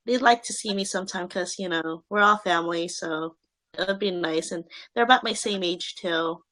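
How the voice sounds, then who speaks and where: A woman's voice: on the low side, moderate pace, fairly bright tone. A young woman answering interview questions in a casual, hesitant way, with many fillers and restarts.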